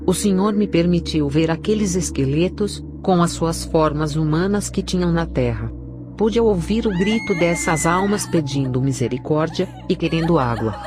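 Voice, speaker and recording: A woman with a Brazilian accent reads a dramatic religious testimony as its narrator. Her voice sits quite low for a woman. Prominent music plays behind her scripted delivery. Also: lively intonation, moderate pace.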